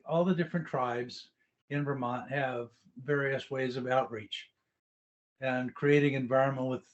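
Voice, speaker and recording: A man with an average-pitched voice explains things carefully, pausing on fillers as he goes. His audio is dull and lo-fi. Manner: measured, hesitant, many fillers.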